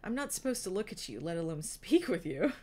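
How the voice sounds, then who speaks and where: A woman's voice: medium pitch, big pitch swings, fairly quick, dark tone, husky. A woman voicing a shy, tearful young girl, speaking in a raised, hesitant voice. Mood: timid, teary. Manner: hesitant, shaky speech.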